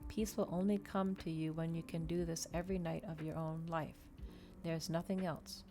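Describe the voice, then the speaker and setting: A woman's voice: deep voice, fairly even intonation, normal speed, mellow, soft background music. A woman voicing a composed, coaxing male vampire in a tender, mannered ASMR storybook reading.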